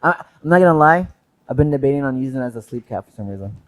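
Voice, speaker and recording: A man's voice: mid-range voice, rapid-fire. A man co-hosting a casual podcast, swearing freely and bantering in a joking way. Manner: profane, joking, animated banter. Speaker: podcast co-host. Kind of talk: casual chat.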